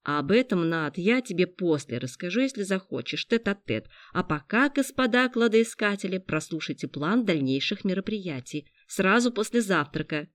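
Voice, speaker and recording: A reader voicing a confident, sharp-witted young law student in an audiobook, speaking in an assured, explaining way. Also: medium pitch, animated, moderate pace, few pauses, no echo, natural voice. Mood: teasing.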